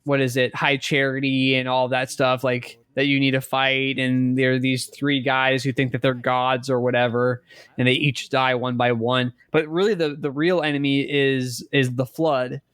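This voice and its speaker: A man's voice: medium pitch, level tone, moderate pace, a bit bright, clear, resonant tone, no echo. A man co-hosting a podcast, talking casually in a rambling, emphatic way and repeating himself for stress. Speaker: podcast co-host. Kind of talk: casual conversational video game chat.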